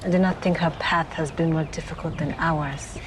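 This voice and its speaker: An actress playing a curt, doubting woman with a lowish voice. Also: fairly even intonation, normal speed, background noise, close to an everyday voice. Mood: skeptical. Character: skeptical, dismissive woman. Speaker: film character.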